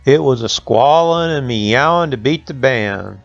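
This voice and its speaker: A man's voice: medium pitch, animated, measured pace, gravelly, dry recording, dull audio, soft background music. A man telling a folksy tale to children in colloquial dialect. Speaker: storyteller. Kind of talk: folksy oral storytelling for children.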